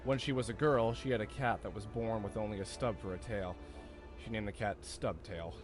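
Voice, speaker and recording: A man's voice: fairly low pitch, fairly even intonation, moderate pace, faint music behind, lightly acted. A man playing a wry, easygoing detective in a visual novel, with a teasing, candid manner. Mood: amused. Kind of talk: visual novel character voice read-aloud.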